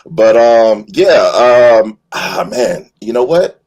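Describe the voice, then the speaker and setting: A man with a very deep, rough-edged voice talks casually as a stream guest. He is easygoing and enthusiastic, pausing often and feeling for words as he explains. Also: expressive, darkish tone. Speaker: guest voice actor and cosplayer. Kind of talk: casual chat.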